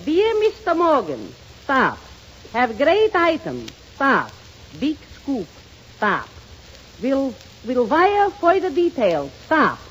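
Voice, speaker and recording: An actress playing a cheeky, dizzy newspaper office assistant who wisecracks in a 1930s radio comedy. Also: female voice, sits high, wildly swooping pitch, slow, exaggerated voice. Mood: sassy, deadpan punchlines. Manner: sassy, wisecracking. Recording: noisy old 1930s radio recording.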